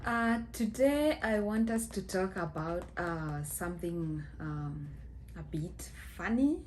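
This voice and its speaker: A woman's voice: lowish voice, animated, a little mellow, slight background noise. A woman in her thirties from Kenya with a Kenyan accent, telling a story to the camera in a chatty way full of fillers. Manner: slow, chatty, many fillers, mixes in Swahili phrases. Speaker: vlogger. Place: small indoor room at home.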